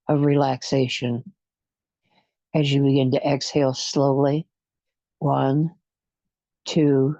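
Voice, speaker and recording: A guide leading a guided imagery relaxation session, speaking in a soothing, hypnotic way with long pauses and slow counting, on a dull lo-fi recording. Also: medium pitch, mellow, no echo.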